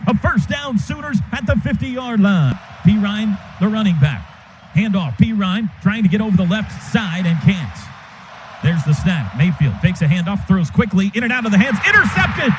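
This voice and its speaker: Guy doing radio play-by-play, high voice, clipped calls, noisy stadium broadcast. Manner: rapid, clipped calls that rise sharply on big plays. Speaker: play-by-play announcer.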